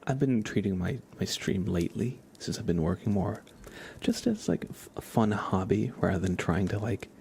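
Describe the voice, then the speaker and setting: A man chatting softly as an ASMR livestream host, in a gentle hushed voice that often drops into whispers. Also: fairly low pitch, very animated, moderate pace, gravelly, a little hiss or hum. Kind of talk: soft-spoken ASMR livestream chat.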